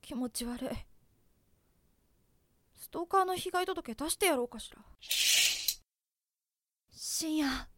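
Casting: actress playing an irritated young woman, high voice, slow and dry. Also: fairly bright tone, no room sound. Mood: annoyed. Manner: sarcastic grumbling.

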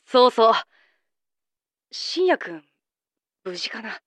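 A woman as a breezy, enigmatic boyish reporter in a voice drama. The delivery is lively and teasing, pitched a little high for a woman. The sound is dry. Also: takes it slowly, no echo, exaggerated voice. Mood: concerned. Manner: light, evasive, casual, boyish wording. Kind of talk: Japanese amateur voice drama acting.

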